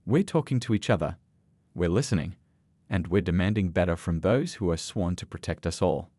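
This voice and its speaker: A man voicing a dignified old grandfather in a narrated story, calm, principled and plain-spoken. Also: sits low, brisk, no echo, voice pushed down. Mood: earnest.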